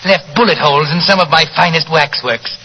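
An actor playing an ominously polite waxworks owner with an English accent in a 1940s radio mystery. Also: male voice, a little high, fairly quick, sharp tone, slight background noise, poor audio. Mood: politely ominous. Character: suave, sinister waxworks owner with polite menace. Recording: old radio recording with music behind.

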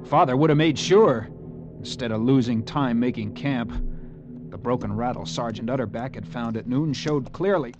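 An American actor playing an eager, resentful young cavalry lieutenant in an old radio drama, with an earnest military manner. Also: male voice, medium pitch, normal speed, lo-fi sound, over music, natural voice. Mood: bitter, resentful. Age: young adult. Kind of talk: 1940s-50s radio acting.

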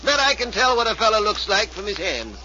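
A very high-pitched, jaded old change maker in a radio play. He has a plain-spoken, sing-song vendor's way of talking, on a muffled vintage recording. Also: very animated, brisk, sharp tone, slight background noise, exaggerated voice. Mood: world-weary. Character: jaded old penny-arcade change maker called Pop. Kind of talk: 1940s radio acting.

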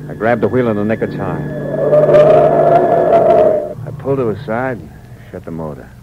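A male actor as a hard-boiled American drifter in a 1940s radio mystery, very low in pitch. He narrates his own crime with terse, wry cynicism. The sound is dull and noisy, like an old broadcast. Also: lively intonation, moderate pace, close to an everyday voice. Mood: brooding. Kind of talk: 1940s radio noir acting, first-person narration.

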